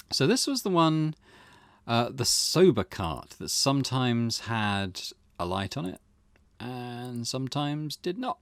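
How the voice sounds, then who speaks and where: A man hosting a gaming playthrough, conversational in his commentary and expressive when reading the story text aloud. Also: medium pitch, no echo, clean studio sound. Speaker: gaming channel host.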